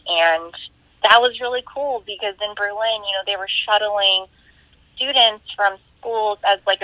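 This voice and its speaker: A woman director on a remote festival Q&A, thinking aloud in a bright, forward voice over a slightly lo-fi connection. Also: fairly low pitch, creaky, no room sound. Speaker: independent filmmaker being interviewed. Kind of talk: reflective interview answers, casual.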